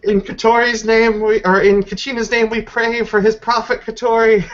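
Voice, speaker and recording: A man's voice: high voice, level tone, normal speed, pitched above the performer's own voice, natural voice. A man voicing a fierce, frantic werewolf woman warrior in a tabletop game, blunt and urgent. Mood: praying aloud. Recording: dull, lo-fi voice recording.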